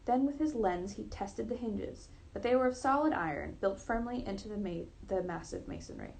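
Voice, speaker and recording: A woman's voice: mid-range voice, animated, moderate pace, gravelly, a little mellow, poor audio. A woman narrating a classic story aloud, expressively and with the occasional stumble over words. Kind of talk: read-aloud literary narration.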